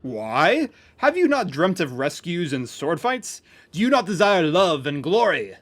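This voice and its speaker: A man's voice: a little high, sing-song, a little slow, a bit bright, full character voice. A man voicing a vain, boastful knight in an amateur fantasy story reading, with haughty, formal speech. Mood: grandiose. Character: pompous, boastful male knight, theatrical and vain.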